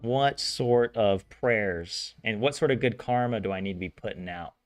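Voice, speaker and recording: A man's voice: lowish voice, moderate pace, faint music behind. A man streaming a language study session, chatting casually, rambling with frequent laughs and restarts. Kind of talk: casual live-stream chat and translation.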